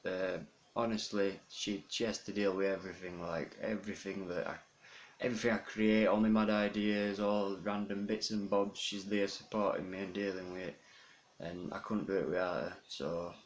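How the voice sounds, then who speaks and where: A young man from South Yorkshire with a toned-down Yorkshire accent, talking about himself in a rambling, earnest way, pausing often to think. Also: on the low side. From South Yorkshire, England. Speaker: vlogger introducing himself. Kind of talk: casual self-introduction monologue. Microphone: phone camera. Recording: phone camera with faint background noise.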